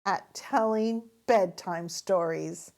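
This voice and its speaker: A woman's voice: fairly low pitch, takes it slowly, gravelly, darkish tone. A woman voicing a good-natured, genial father pig from a children's storybook. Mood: amused.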